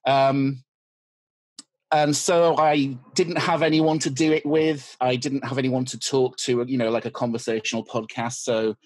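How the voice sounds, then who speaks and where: A man's voice: medium pitch, little pitch movement, a little slow, no echo. A man, a teacher, chatting as a podcast guest in a wry, self-deprecating way. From United Kingdom. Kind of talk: casual interview chat.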